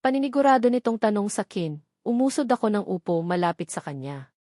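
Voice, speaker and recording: A woman's voice: medium pitch, sing-song, fast, mellow, dry recording, studio quality. A woman with a Filipino accent reads a first-person romance novel as a dramatic narrator. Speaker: audiobook narrator.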